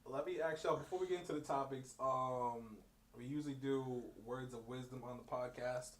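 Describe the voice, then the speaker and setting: A young man hosting a casual podcast, animated and joking, with hype-style intros. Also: medium pitch, normal speed. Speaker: podcast host.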